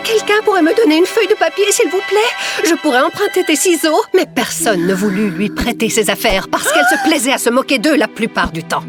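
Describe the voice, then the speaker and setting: A woman's voice: high-pitched, lively intonation, a little fast. A woman playing a haughty, busy teacher in a French cartoon, pompous and dramatic in her delivery. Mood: spooky storytelling. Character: theatrical, haughty, busy adult woman teacher telling spooky tales. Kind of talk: French animated series acting. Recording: music behind the voice.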